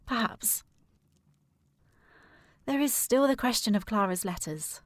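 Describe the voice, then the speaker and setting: A woman playing a determined, formal young gentlewoman worried about her sister in a period audio drama. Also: on the high side, expressive, slow, dry recording. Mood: troubled, insistent. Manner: formal, precise, insistent.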